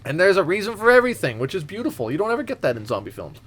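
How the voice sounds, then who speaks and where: A man's voice: sits high, sing-song, racing, fairly bright tone. A man co-hosting a podcast, chatting casually and loosely, with some room noise around him. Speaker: podcast co-host.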